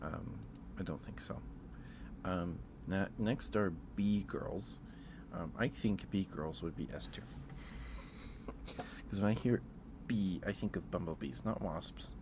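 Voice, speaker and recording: Low-voiced man chatting casually over background noise. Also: slow pace. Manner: hesitant, thinking aloud. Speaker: streamer. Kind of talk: casual ASMR livestream chat.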